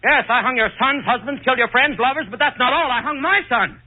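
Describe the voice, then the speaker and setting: A man's voice: very high-pitched, fast. An actor playing a callous, guilt-crazed hangman in a melodramatic 1940s radio horror style, repeating his phrases obsessively. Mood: hysterical defiance. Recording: noisy, tinny 1940s radio recording.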